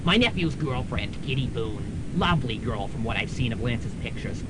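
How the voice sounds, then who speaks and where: A man's voice: expressive, moderate pace, natural voice. A man playing a brassy older aunt, noisy tape. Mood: grave, urgent. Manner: wisecracking, familiar, theatrical. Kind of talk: 1990s student radio comedy drama. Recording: rumbling, noisy college radio tape.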